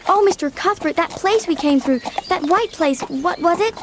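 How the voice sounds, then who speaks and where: A woman's voice: extremely high pitch, animated, normal speed, background noise. A woman playing a dreamy, talkative eleven-year-old orphan girl in an audiobook drama, gushing and exclaiming as she chatters. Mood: enraptured.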